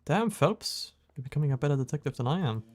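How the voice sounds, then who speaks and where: A game streamer talks casually in a mid-pitched voice with music playing underneath. The delivery is jokey and conversational, thinking aloud while playing. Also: lively intonation, fast, darkish tone.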